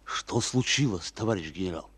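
An actor playing a keyed-up Soviet captain in a wartime radio drama, with brisk, emphatic military address. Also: male voice, sits low, expressive, a bit bright, husky, exaggerated voice. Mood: alert, dutiful. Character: Captain Saburov, keyed-up Soviet battalion commander at war. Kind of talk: 1940s-set wartime radio drama acting. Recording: reverberant hall, music underneath.

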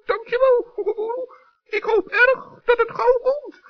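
A man voicing a small, naive gnome in a children's radio play, squeaky, childlike, earnest and dithering. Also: fairly even intonation, a little slow. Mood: impatient. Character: small male gnome, naive, curious, hesitant. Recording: dull 1960s radio recording.

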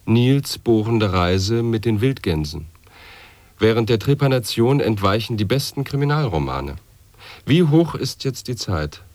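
An actor voicing a man who solemnly declaims absurd wordplay in a measured way, in an avant-garde radio play. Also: fairly low pitch, darkish tone, dry recording.

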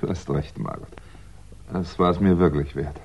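An actor playing a wry, chatty, put-upon crime writer in a 1960s German radio play. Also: male voice, low voice, fairly even intonation, moderate pace, a little mellow, husky, highs cut off. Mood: sheepish.

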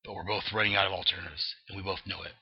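A man reading aloud the lines of a weary grim male vigilante, speaking low, quiet and earnest. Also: flat delivery, rapid-fire, slightly rough. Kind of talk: reading comic dialogue aloud. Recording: dull lo-fi dry recording.

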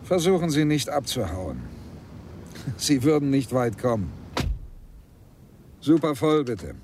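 An actor playing a dry, controlled lawyer in a radio drama, speaking in a measured, matter-of-fact way. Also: male voice, mid-range voice, sing-song, darkish tone, slight background noise. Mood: firm. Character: dry, controlled male lawyer tracking down an undertaker. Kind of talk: German radio drama acting.

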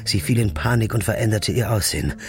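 A man playing a grim, accusing low-voiced hero. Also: fast talker, natural voice. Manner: blunt, accusing, tense questions, sometimes whispered. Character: grim, desperate man hunting his girlfriend's killer. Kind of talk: radio play acting.

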